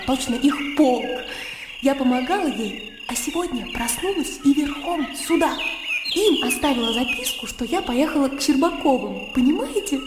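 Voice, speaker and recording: Звонкая игривая барышня. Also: female voice, high voice. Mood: playful excitement. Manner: animated, playful, girlish. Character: lively, carefree, mischievous young country gentlewoman. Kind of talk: radio drama acting. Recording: echoey reverberant hall with noise.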